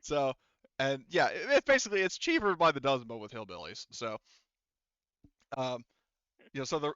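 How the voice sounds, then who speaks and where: A man's voice: medium pitch, fairly bright tone, smooth, no room sound, muffled recording. A man improvising narration as a tabletop game master, casual and rambling, often stopping to correct himself.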